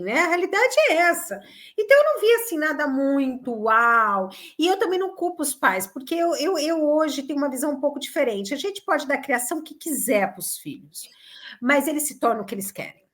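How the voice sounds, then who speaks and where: Woman, high voice, very lively pitch, emphatic, opinionated chat. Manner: emphatic, opinionated, animated storytelling. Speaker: co-host. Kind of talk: casual chat.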